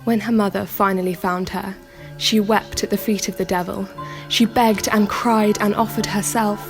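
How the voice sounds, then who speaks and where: An actress playing a pensive young daughter in a radio drama, telling dark fairy tales in a measured, lyrical way. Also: female voice, mid-range voice, steady tone, over music, lightly acted. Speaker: actor in a radio play. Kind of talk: radio drama acting, storybook narration.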